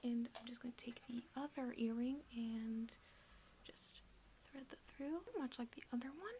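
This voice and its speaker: A woman whispering in a breathy, hushed, halting way, doing an ASMR roleplay as a gloomy, deadpan emo best friend. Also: fairly high pitch, a little hiss or hum. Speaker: ASMR video creator.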